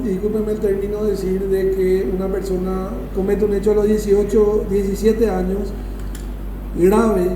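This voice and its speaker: High-voiced Paraguayan male law professor lecturing. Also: warm tone, recorded from a distance. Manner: didactic, emphatic repetition, checks understanding. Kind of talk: classroom lecture with questions to students. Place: classroom. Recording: echoey room from afar, noisy.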